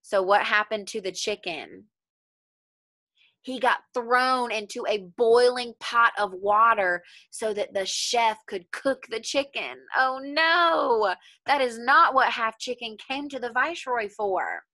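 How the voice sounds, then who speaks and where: A young adult woman teacher reading a story aloud to children and explaining it with simple, deliberate questions. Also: a little high, sharp tone. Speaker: elementary school teacher.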